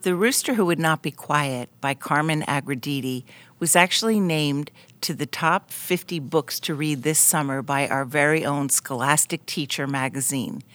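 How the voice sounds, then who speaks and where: A woman's voice: sits low, moderate pace, a bit bright, faint music behind. A woman hosting a podcast, giving scripted introductions and asking interview questions. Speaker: podcast host.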